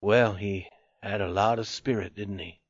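A man voicing a weary, wounded older soldier who speaks low and hushed in a dazed, musing way. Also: slow pace, dry recording, highs cut off.

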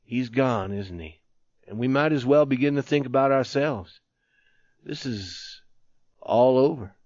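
A man voicing a weary, wounded older soldier who speaks low and hushed in a dazed, musing way. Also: unhurried, dry recording, dull audio, lightly acted.

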